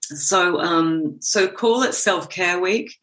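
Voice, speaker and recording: A woman with an Australian accent, a therapist speaking as a podcast guest, relaxed and persuasive, laughing easily. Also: fairly low pitch, slow pace, low-quality recording. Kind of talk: casual podcast interview.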